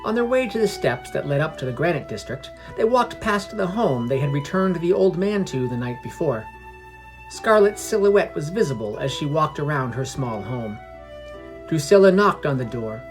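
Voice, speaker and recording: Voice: mid-range voice, lively intonation, normal speed. A storyteller reads a scripted fantasy tale as a podcast narrator. The voice sits in a middle register, with music playing underneath throughout. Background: background music.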